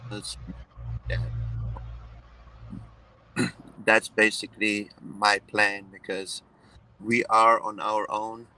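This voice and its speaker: A man from Iran, raised in Germany, talking in a relaxed conversation with many hesitant fillers and restarts. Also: fairly low pitch, extremely slow, long pauses, rough recording. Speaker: guest. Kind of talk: casual conversation.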